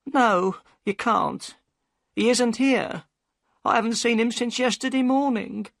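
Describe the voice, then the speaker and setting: A man voicing a worried, tearful boatman's wife in a high, pleading voice. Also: unhurried, vocal fry, a bit bright, dry recording, clean studio sound, exaggerated voice. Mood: upset.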